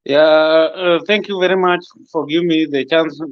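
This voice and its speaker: A man with a Kenyan accent making his points haltingly, deliberately and politely in an impromptu political discussion. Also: fairly high pitch, steady tone, muffled recording.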